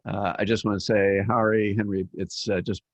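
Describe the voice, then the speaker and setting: Low-voiced man, halting panelist. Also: level tone, no echo. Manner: halting, many fillers. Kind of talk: panel discussion.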